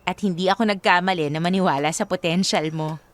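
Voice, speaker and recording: A woman's voice: fairly low pitch, fast talker, no echo, natural voice. An actress playing a flustered, shy young woman in a radio romance drama, with a Filipino accent and a hesitant way of talking. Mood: encouraging. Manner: hesitant, Taglish code-switching. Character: flustered young woman, Monet, shy and encouraging toward her admirer.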